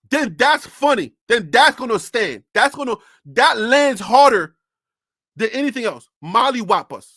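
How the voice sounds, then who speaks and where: Incredulous, high, bright sports ranter. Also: no echo, studio quality. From North Carolina. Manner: incredulous, rhetorical questions, frequent laughter. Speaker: sports show host. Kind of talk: live-streamed sports rant.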